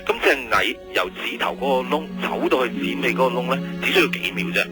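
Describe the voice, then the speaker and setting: A man acting an exuberant scientist from the future in a radio drama, with a Hong Kong Cantonese accent and an excitable way of explaining things simply. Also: mid-range voice, a little fast, loud music behind the voice, lightly acted. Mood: eager explaining. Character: exuberant male scientist calling from twenty years ahead.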